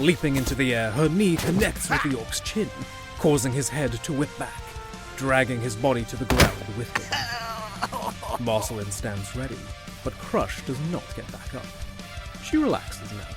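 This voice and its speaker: A man narrates a fantasy adventure drama from a script. His voice sits at a middle pitch, with music clearly playing behind the narration. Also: very animated, normal speed.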